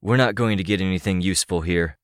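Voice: sits low, fast talker, dry recording, studio quality, close to an everyday voice. A narrator voicing an impatient, suspicious male rival hero in a barking, accusatory manner. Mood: irritated, impatient.

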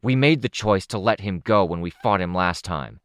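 Guy reading a gruff no-nonsense woman, short choppy sentences, low voice. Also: lively intonation, moderate pace, no echo, clean studio sound. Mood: grim, blunt. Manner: clipped, terse sentences. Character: blunt tough woman, terse, plain-spoken.

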